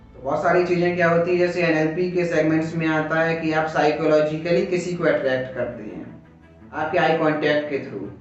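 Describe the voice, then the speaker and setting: A man with an Indian accent teaching in an explanatory, motivational lecture style, slowing down deliberately on English phrases. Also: on the high side, fairly even intonation, lo-fi sound. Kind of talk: motivational lecture on communication skills. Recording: very echoey room, music behind.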